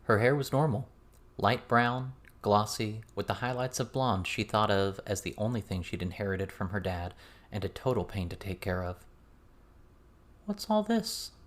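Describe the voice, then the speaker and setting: A man's voice: on the low side, steady tone, normal speed, a bit bright. A man reading scripted fiction as an audiobook narrator.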